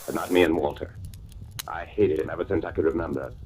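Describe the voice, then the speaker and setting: A man's voice: very deep voice, fairly even intonation, fast, crowd noise. An actor playing a bitter, gloating murderer confiding his crime in hardboiled slang, in 1940s radio drama style. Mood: bitter resentment. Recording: old radio recording with music behind.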